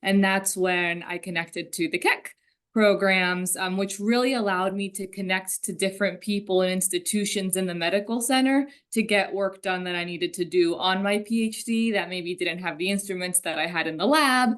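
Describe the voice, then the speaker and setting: A woman's voice: medium pitch, moderate pace, a bit bright. Conversational woman from Colombia. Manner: conversational, storytelling with asides. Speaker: career panelist. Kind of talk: panel talk.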